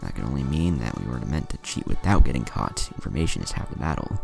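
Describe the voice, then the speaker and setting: A man's voice: very deep voice, sing-song, fast talker, gravelly, music in the background, voice pushed down, full character voice. A man voicing a cocky, shrewd young male ninja trainee in a narrated story, with a confident, casual manner.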